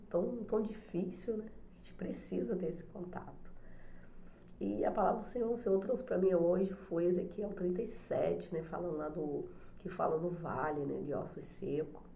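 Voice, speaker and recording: A middle-aged woman with a cold, hoarse, chatting softly and hesitantly on her home vlog with many fillers. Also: lowish voice, echoey room, slight background noise. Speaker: channel host, special education teacher. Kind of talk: casual devotional vlog chat. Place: home, morning coffee.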